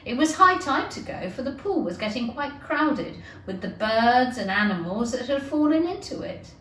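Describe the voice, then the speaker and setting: A woman reading a children's story aloud like a teacher, with careful, expressive storytelling. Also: fairly high pitch, normal speed. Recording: roomy, distant, noisy amateur recording.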